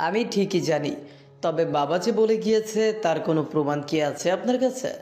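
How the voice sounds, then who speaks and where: A man's voice: a little high, fairly quick, a little mellow, natural voice. A man voicing an indignant ambitious young politician. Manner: defiant, indignant. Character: proud elder son, an ambitious young politician. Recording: echoey room.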